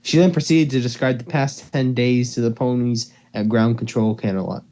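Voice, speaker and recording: A man's voice: mid-range voice, steady tone, moderate pace, mellow. A man reading fan fiction as narrator and co-host, in an amateur, casual style, over slightly dull call audio. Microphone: computer or headset mic on a voice call.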